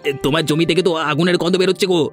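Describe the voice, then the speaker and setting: Fast, shifty cartoon henchman voiced by a man. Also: fairly high pitch, steady tone, close to an everyday voice. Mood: feigned alarm. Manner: hesitant, fumbling for excuses. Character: shifty henchman feigning helpfulness. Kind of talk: Bengali fairy-tale cartoon dubbing. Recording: music bed under the voice.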